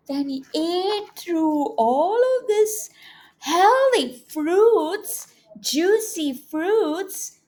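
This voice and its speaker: Slow-paced, very animated high-voiced woman storyteller. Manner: animated, teacherly, often prompting children with questions. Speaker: children's educator and storyteller. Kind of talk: read-aloud storytelling for young children, with questions to the listeners.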